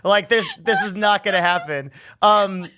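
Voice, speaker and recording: Bright-voiced, stammering man hosting a stream. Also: sits high, moderate pace, smooth. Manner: stammering restarts, laughs often. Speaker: stream host. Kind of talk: casual chat.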